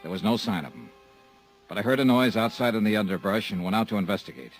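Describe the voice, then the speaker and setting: Male lead, lowish voice, hard-boiled and sardonic, vintage radio narration. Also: animated, fast, soft background music, rough recording. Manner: dry, wisecracking, hard-boiled. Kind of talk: 1950s radio drama narration and acting.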